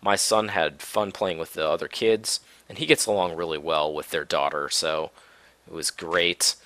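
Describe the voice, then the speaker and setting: A deep-voiced man, chatting in a loose, meandering way as he plays. His voice sits low but has a bright, forward edge. He is coming down with a cold, and the recording is close and dry. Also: steady tone, moderate pace, no room sound. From Minneapolis-Saint Paul area, US. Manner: laid-back and rambling, many ums and restarts. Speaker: Minecraft let's play host. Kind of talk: casual gameplay commentary.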